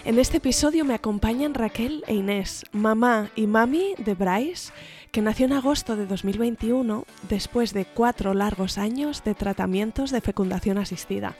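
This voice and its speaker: A woman's voice: a little high, moderate pace, darkish tone, faint music behind. A woman hosting a podcast, reading a scripted intro and then asking her guests questions in a friendly, enthusiastic way. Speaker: podcast host.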